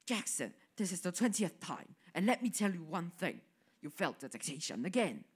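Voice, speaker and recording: A girl voices a strict male schoolteacher who scolds his class in a school radio drama. The pitch rises and falls widely as he lectures. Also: very animated, moderate pace, breathy edge. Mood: stern.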